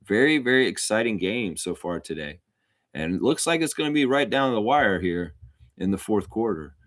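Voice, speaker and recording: Casting: male fan commentator, lowish voice, informal live basketball calls and opinionated asides, recorded dry. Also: big pitch swings, normal speed, a bit bright, no echo. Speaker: host and amateur play-by-play commentator of a livestream watchalong. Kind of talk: live basketball commentary mixed with casual chat to viewers.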